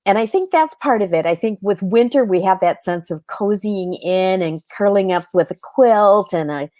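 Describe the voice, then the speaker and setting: A woman's voice: medium pitch, lively intonation, moderate pace, mellow, no room sound. A woman speaking as an interview guest in a reflective, conversational style, with an earnest, thoughtful way of explaining things.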